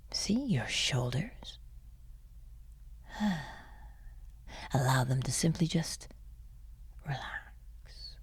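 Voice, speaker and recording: A woman leading a guided sleep meditation in a soothing, hushed and breathy voice, leaving long pauses. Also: low voice, no echo. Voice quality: breathy, husky.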